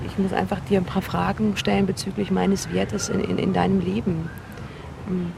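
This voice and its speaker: Over faint location noise, an earnest woman in a naturalistic radio play. She talks at an ordinary pitch, conversational and slightly hesitant, probing awkwardly. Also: flat delivery, normal speed, gravelly. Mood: earnest, tentative. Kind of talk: naturalistic semi-improvised radio drama. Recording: noisy location recording with ambient hum.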